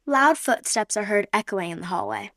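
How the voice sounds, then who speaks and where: A woman's voice: medium pitch, expressive, moderate pace, a bit bright. A woman narrating a radio play in a vivid, dramatic scripted style.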